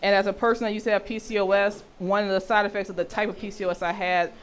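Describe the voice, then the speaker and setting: A woman with a deep voice for a woman, teaching a health session and often checking in with her listeners. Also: little pitch movement, fast talker, a little hiss or hum. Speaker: health and intimacy educator.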